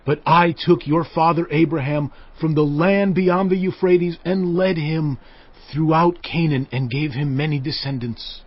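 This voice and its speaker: An actor playing a stern, commanding Israelite leader, declaiming solemnly and emphatically in a dramatized audio Bible. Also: male voice, a little high, steady tone, measured pace, highs cut off. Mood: solemn, proclaiming. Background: music.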